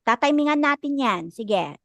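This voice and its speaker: A woman's voice: high voice, lively intonation, normal speed, fairly bright tone, dry recording. A woman with a Filipino accent teaching an online class, encouraging students as she talks and switching between English and Tagalog. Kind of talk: casual lecture coaching students.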